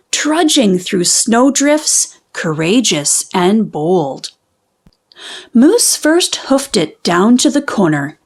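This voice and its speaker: A woman reading a children's storybook aloud in an expressive, deliberate way with dramatic pauses. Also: a little high. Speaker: children's book reader. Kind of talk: animated storybook read-aloud.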